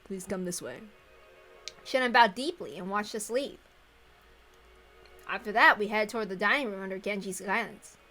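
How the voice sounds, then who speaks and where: A woman's voice: mid-range voice, normal speed, long pauses, pushed and bright. A woman reading visual novel narration aloud, with casual asides. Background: faint music.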